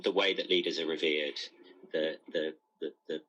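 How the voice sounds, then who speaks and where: A man's voice: rumbling low, fairly even intonation, normal speed, a bit bright, dull audio. A man giving spontaneous interview comment, earnest and repeating words as he searches for phrasing.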